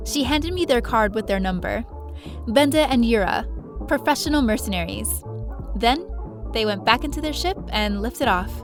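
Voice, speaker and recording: A woman playing a young space adventurer, telling her own story expressively in an audio drama. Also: on the high side. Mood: reflective. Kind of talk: first-person audio drama narration.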